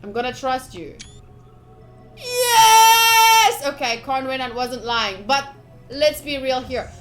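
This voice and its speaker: A woman livestreaming a visual novel playthrough, reading the text aloud with excitable, playfully dramatic and casual commentary. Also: sits high, slow, faint music behind. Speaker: streamer playing a visual novel.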